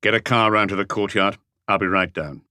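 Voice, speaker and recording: Man playing a calm, terse police inspector, low-pitched. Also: dry recording, clean studio sound. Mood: brisk, grumbling. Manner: measured, unhurried, laconic. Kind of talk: audiobook character voice.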